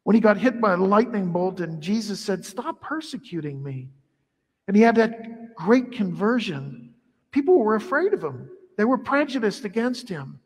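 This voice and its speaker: A middle-aged priest preaches in a lively, conversational way, his voice sitting high for a man. The sound is caught from across a large church sanctuary, with a long reverb trailing each phrase. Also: moderate pace, breathy edge. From Ireland heritage. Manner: animated, conversational preaching with wide arm gestures. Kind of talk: sermon.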